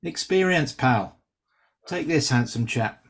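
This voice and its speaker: A man playing a brash, quick-witted stand-up comedian with sardonic, teasing banter in a script reading. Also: medium pitch, lively intonation, vocal fry. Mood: cocky teasing.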